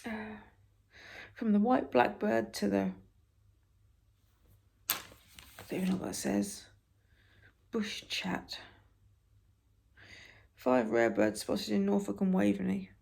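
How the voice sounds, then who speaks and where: A British woman with a raspy voice, softly reading newspaper stories for ASMR and adding wry, halting asides. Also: medium pitch. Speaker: ASMR creator.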